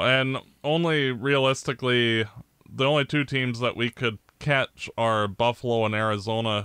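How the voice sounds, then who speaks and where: Slow, measured man. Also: on the low side, pushed and bright, clear, resonant tone, no room sound. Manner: measured, explains step by step. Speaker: podcast co-host. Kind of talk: casual sports chat.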